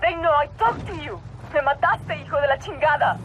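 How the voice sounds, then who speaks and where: A woman's voice: high-pitched, brisk, natural voice. A woman with a Mexican accent playing a frightened, furious drug boss's wife in a video game, agitated and profane. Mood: panicked, angry. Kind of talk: scripted game dialogue. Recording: dull band-limited phone line.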